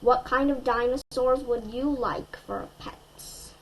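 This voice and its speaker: A woman reading a children's story aloud expressively and deliberately, as if to young listeners. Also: a little high, vocal fry, a little hiss or hum, poor audio.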